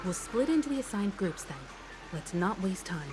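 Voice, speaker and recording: A woman voicing a calm, sensible team leader in a game, speaking in a measured, practical way. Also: medium pitch, over music, natural voice. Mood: composed.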